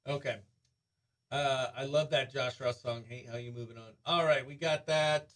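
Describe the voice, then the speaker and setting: A man hosting a radio show in a breezy, excitable way, chatting and calling out greetings to viewers. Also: mid-range voice, normal speed. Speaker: radio host.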